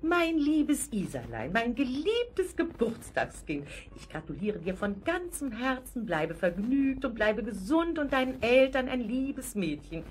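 A woman's voice: fairly high pitch, sing-song, measured pace, hardly any pauses, slight background noise. A woman playing a fussy old aunt who gushes and talks nonstop in a children's radio play. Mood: gushing.

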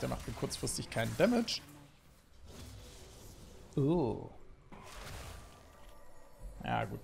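A man in his thirties commentates his own gameplay from a home desk setup. His voice sits a little high and swings widely in pitch as he gets excited, with frequent filler sounds between thoughts. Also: vocal fry, slight background noise. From Germany. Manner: lively, excitable, talks through play with fillers. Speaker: gaming YouTuber. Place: home streaming setup, indoors.

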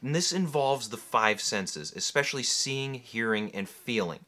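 A man's voice: medium pitch, animated, a little slow, pushed and bright. A man in his thirties with an American accent explaining things point by point as an instructor in a tutorial. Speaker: writing instructor and video host. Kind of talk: explanatory tutorial delivery to camera. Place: indoor room with bookshelves.

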